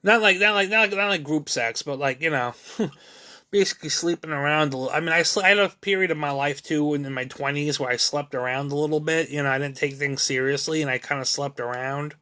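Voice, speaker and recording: Bright-voiced man in his thirties, halting. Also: a little high. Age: 30s. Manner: halting, many restarts and fillers; candid, self-critical. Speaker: video creator. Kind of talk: rambling personal monologue.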